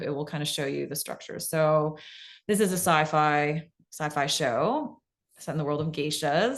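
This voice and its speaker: A woman with a fairly deep voice presents to a class on a video call. Her delivery is casual and conversational, with emphatic points and frequent little check-ins. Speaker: podcast pitching workshop presenter.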